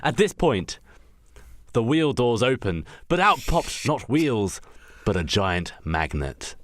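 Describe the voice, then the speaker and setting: Animated man, theatrical comic narrator. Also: medium pitch, slow pace, dry recording, clean studio sound. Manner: theatrical, rambling, self-correcting. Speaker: podcast host narrating a story. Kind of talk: improvised comic radio play narration.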